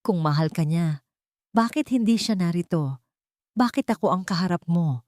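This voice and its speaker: A woman narrator plays a haughty older matriarch of a wealthy family in a radio drama. The voice is on the low side and close and dry, with cold, contemptuous authority. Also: lively intonation, normal speed, a little mellow, no room sound, lightly acted. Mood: cold scorn. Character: haughty rich older mother, scornful and domineering.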